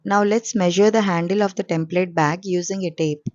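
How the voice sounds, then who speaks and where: A woman hosting a sewing channel, explaining each step calmly in a voice-over, her voice coarse and hoarse from a cold. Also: lowish voice, normal speed, muffled recording, music in the background.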